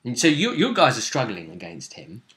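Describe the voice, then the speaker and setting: A man recapping a tabletop role-playing session as game master, in a casual, animated storytelling manner. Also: medium pitch, normal speed.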